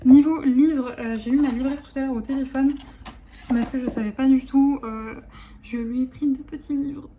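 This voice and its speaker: A woman's voice: high-pitched, fairly even intonation, moderate pace, dark tone, slight background noise. A woman in her late twenties chatting casually in a vlog, rambling with many fillers and restarts, recorded on a phone. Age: late 20s. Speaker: vlogger.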